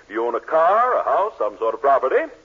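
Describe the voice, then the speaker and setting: An actor playing a pompous, exasperated middle-aged banker, formal and blustery, in a 1940s radio sitcom. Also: male voice, mid-range voice, sing-song, fast, breathy edge. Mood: formal, impatient. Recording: muffled old 1940s radio recording.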